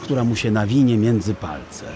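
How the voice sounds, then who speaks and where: A man narrating a children's audiobook in a theatrical, deliberate storytelling style. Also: lowish voice, expressive, crowd noise.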